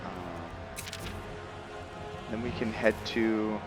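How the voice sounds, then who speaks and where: A man giving casual commentary as he plays a roleplaying game, thinking aloud in a halting way. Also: fairly low pitch, completely flat delivery, music in the background. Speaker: gameplay host.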